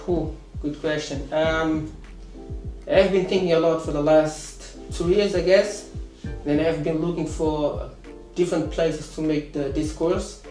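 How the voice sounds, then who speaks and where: Hesitant man, mellow voice. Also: on the high side, fairly even intonation, unhurried, reverberant, faint music behind, low-quality recording. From Portugal. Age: 30s. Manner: hesitant, many fillers and restarts. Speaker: course student. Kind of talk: casual interview answers.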